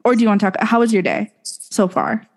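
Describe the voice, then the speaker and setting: A woman's voice: medium pitch, very animated, brisk. A young woman co-hosting a casual podcast, giggly and slangy, with a slightly sore throat. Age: young adult. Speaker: podcast co-host. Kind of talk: casual chat.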